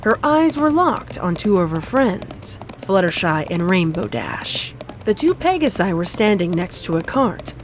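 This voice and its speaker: Female storybook narrator, slightly low voice, scripted delivery over faint music. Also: sing-song, unhurried. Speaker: fan fiction narrator.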